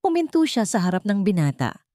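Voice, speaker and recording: A woman's voice: medium pitch, sing-song, brisk, dark tone, dry recording, studio quality. A woman narrating a scripted dramatic story as its storyteller.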